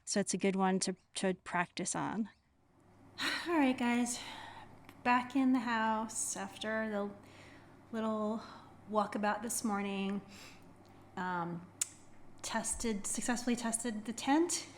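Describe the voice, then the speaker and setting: A woman's voice: mid-range voice, slow, pauses often, a little hiss or hum. A middle-aged American woman chatting casually and informally to camera as a hiking vlogger, rambling a little as she goes. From United States.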